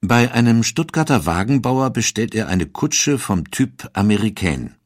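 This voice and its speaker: Low-pitched male narrator, dry studio sound. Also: expressive, a little slow, dry recording. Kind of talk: scripted documentary narration.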